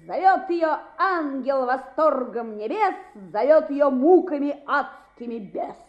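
A woman's voice: high voice, animated, slow. A woman playing a teasing, bookish schoolboy who leads his friends in a radio play. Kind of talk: Soviet-style radio play acting. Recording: muffled, echoey old radio play.

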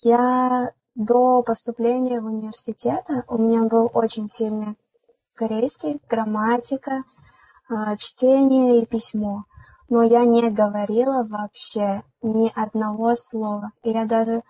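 A young woman hosts the conversation, putting questions to her guest and then talking about herself. Her voice sits fairly high, with a flat, mellow, breathy tone. The sound is dry and close. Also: a little slow, low-quality recording. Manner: asks questions, then shares her own story. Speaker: podcast host. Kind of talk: casual chat.

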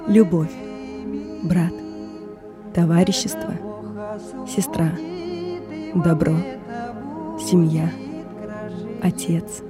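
A woman reading a scripted personal story in a measured, reflective way, in short phrases. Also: on the low side, fairly even intonation. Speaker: narrator of an audio performance. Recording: music bed under the voice. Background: music.

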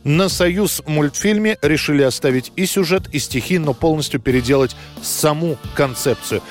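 A man narrating a scripted history programme in a measured, storytelling way. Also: on the high side, faint music behind.

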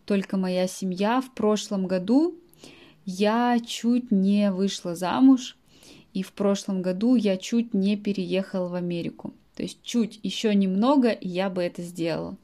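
A woman in her late twenties speaks to her language students with a warm, mellow tone. She talks in a measured podcast monologue, often breaking off to define a word in plain language, with soft fillers between thoughts. Her voice sits at an ordinary middle pitch. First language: Russian. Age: late 20s. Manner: explains words in simple terms, with frequent soft fillers. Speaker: Russian language teacher.

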